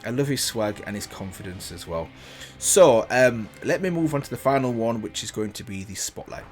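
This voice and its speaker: A man's voice: medium pitch, animated, normal speed, soft background music. A man hosting a casual video game reaction, chatting enthusiastically with plenty of "um"s. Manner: enthusiastic, rambling, lots of fillers.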